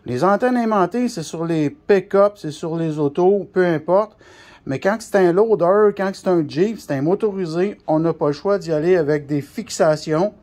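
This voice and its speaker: A man's voice: sits high, a little mellow. A man with a Quebec French accent giving an informal hands-on explanation, colloquial and repeating points for emphasis. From Canada. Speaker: CB radio shop technician.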